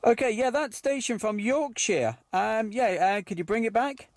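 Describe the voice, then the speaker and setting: High-pitched man on CB. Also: animated, normal speed, noisy background. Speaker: radio net controller. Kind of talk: amateur CB radio chat.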